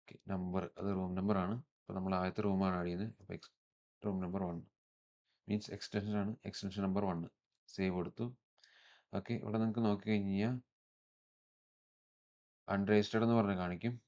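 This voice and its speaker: Low, flat-toned Malayali man, tutorial. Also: fairly quick, long pauses, lo-fi sound. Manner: instructional, mixes English technical terms into speech. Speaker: tech tutorial presenter. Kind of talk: step-by-step software walkthrough.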